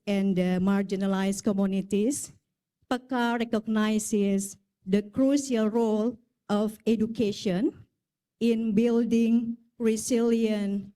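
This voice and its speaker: A woman representing the local host foundation, reading a formal welcome speech slowly and carefully, with small grammar slips. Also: on the high side, dark tone. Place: conference hall.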